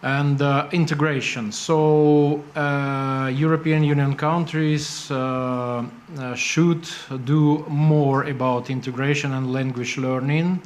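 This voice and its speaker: A man speaking as a panelist, halting with frequent fillers and restarts. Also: a little high, level tone. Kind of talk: panel discussion.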